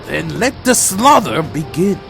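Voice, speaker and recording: Man as a high-pitched brooding vampire warrior. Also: sing-song, measured pace, vocal fry, pushed and bright, soft background music, full character voice. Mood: grim resolve. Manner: solemn, formal, dramatic. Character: proud male vampire warrior, loyal to his clan, brooding. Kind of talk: audio drama dialogue and first-person narration.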